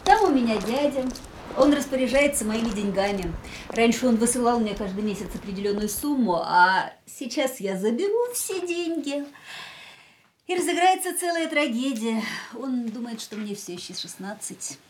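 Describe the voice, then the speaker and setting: An actress in a radio drama playing a wistful, war-scarred woman of twenty-four, world-weary, soft and melancholy in delivery. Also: fairly high pitch, expressive, moderate pace, slight background noise, close to an everyday voice. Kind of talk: radio drama acting, dialogue and monologue. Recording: studio radio play with music behind.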